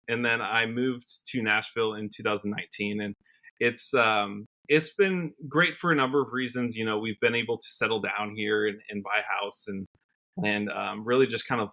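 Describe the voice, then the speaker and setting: A man's voice: mid-range voice, pushed and bright. An American man in his early thirties, a musician talking casually as an interview guest, often saying "you know.". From Washington State, United States. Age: early 30s. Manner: conversational, peppers talk with "you know".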